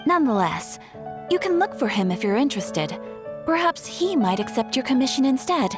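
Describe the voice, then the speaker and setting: High-voiced, courteous guild receptionist. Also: female voice, big pitch swings, normal speed, over music. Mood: polite, encouraging. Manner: polite, formal, encouraging. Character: courteous, helpful young female adventurers' guild receptionist. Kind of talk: English anime-style game dub.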